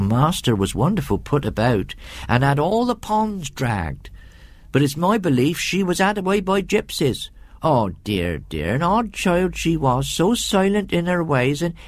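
Man as garrulous kindly housekeeper woman, raised pitch, folksy servant talk, husky, animated, storybook. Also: unhurried, no echo, full character voice. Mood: chatty, wondering. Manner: chatty, folksy, dialect grammar.

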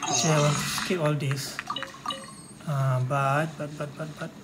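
A gamer giving casual, halting walkthrough commentary, often repeating words. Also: medium pitch, flat delivery. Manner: halting, repetitive phrasing. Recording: voice under loud game music.

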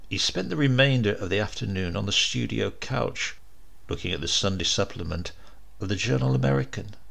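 A man narrating a horror short story dramatically, with deliberate, suspenseful, theatrical emphasis. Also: fairly low pitch, gravelly. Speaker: audiobook narrator.

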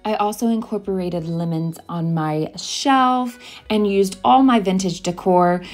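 A woman's voice: medium pitch, unhurried, over music. A woman home decor vlogger narrating a voice-over tour of a home.

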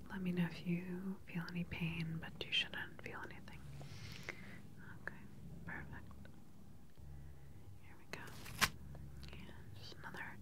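A woman speaks in a hushed, low-pitched whisper, very close to the mic. She takes her time between phrases. Her manner is that of a reassuring clinician in an ASMR exam roleplay. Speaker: ASMR performer role-playing a doctor. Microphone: close microphone, face near the camera.

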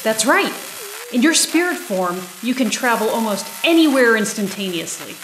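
A woman's voice: a little high, sing-song, normal speed. Woman acting government agent, businesslike, persuasive, audio drama, echoey, faint noise. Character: earnest female government special agent.

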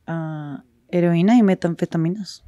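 A woman speaking frankly in a street interview, with halting pauses and restarts. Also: fairly low pitch, mellow, no echo.

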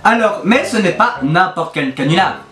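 A man's voice: high voice, lively intonation, normal speed, a bit bright. A man, chatting casually to camera in a playfully exasperated, teasing manner. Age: early twenties. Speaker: YouTuber hosting a prank video. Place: small bedroom, indoors. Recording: roomy echo, music behind.

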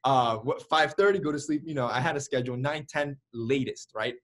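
A man's voice: mid-range voice, a little fast, fairly bright tone. A man in his early twenties, speaking as an interview guest over a video call, telling his own story energetically with many "like"s and "right?"s. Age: early 20s. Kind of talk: candid storytelling.

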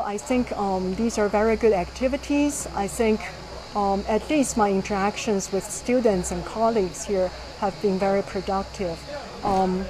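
Woman physicist, measured and explanatory. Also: mid-range voice. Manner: measured, explanatory, frequent fillers. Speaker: physics professor. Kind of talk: interview. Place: indoors by a whiteboard. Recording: noisy background.

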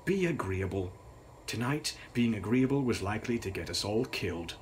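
A man's voice: fairly low pitch, expressive, a little fast, slight background noise. A young man reading a gothic novel aloud in a grave, theatrical, dramatic style. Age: young adult. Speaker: author reading his novel.

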